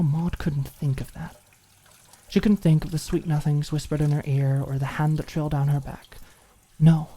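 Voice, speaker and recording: A woman reading a scripted story as an audiobook narrator, in an expressive storytelling style. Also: deep voice.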